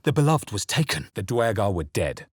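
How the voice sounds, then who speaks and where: A brooding fantasy game hero with a deep voice and a husky edge. He is grave and wry, speaking in measured, theatrical phrases that move widely in pitch. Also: sing-song, dry recording, clean studio sound. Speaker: video game voice actor. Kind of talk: dramatic fantasy game narration and character barks.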